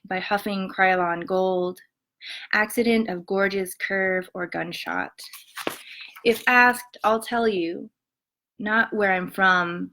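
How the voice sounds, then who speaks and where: A woman poet reads her verse in a measured, quiet way and then talks about her book in a soft, halting voice full of ums. Her voice sits at an ordinary middle pitch. It sounds picked up by a webcam microphone at home. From Montana and western Colorado, USA. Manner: soft-spoken, many ums, measured reading. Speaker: poet reading her work. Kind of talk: poetry reading with spoken introductions.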